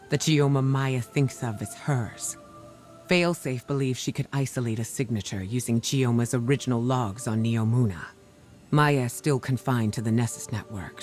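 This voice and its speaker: Man as mid-pitched, resolute game ally. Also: a little mellow, natural voice. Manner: serious, measured. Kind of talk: video game mission briefing dialogue.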